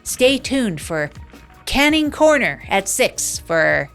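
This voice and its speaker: A woman's voice: a little high, big pitch swings, takes it slowly, fairly bright tone, soft background music. A woman playing a fictional small-town radio host, rambling through a self-deprecating improvised comedy monologue. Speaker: radio show host character.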